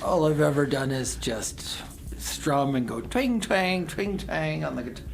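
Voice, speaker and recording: A man's voice: on the high side, animated, measured pace, gravelly, slight background noise. A man, a band guitarist, chatting casually and wryly as a radio guest. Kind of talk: casual joking interview chat. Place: small radio studio.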